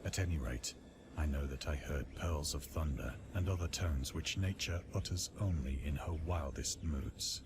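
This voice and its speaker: A haunted, deep-voiced man confessing his slide into madness. He narrates a horror tale gravely and deliberately, in a literary storytelling style. Clear atmospheric noise sits behind the voice. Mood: haunted, delirious. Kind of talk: dramatic scripted horror narration.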